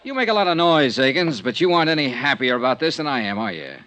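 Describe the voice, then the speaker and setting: Hard-boiled radio detective, lively pitch. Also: male voice, fast talker. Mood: insistent. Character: tough, dogged male insurance investigator, hard-boiled and skeptical. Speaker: lead detective and narrator. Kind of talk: mid-century radio detective drama acting. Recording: old radio recording with music.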